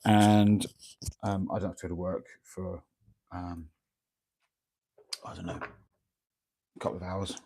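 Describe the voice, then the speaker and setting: Croaky, gravelly man with a cold. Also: low voice, little pitch movement, takes it slowly, pauses often, dark tone. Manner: rambling, hesitant, with many ums and restarts. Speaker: miniature painter streaming live. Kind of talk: casual live-stream chat while working. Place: home workspace, early morning. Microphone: microphone near the speaker.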